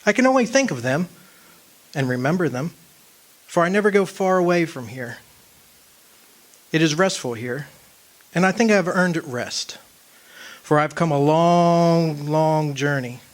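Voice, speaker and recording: A man playing a wistful old soul in a radio drama, remembering his lost love in measured, poetic, solemn narration. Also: a little high, lively intonation. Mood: quiet, reflective. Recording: music behind the voice.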